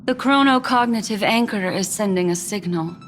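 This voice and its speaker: An actress voicing a calm, composed woman who speaks deliberately and a little loftily. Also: medium pitch, faint music behind, natural voice. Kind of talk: game voice acting.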